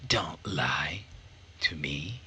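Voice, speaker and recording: A man's voice: very deep voice, animated, extremely slow, sharp tone, voice pushed down, heavily acted. A man voicing a domineering older mother from a visual novel, sweetly needling and condescending. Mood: knowing. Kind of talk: visual novel read-aloud. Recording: background noise.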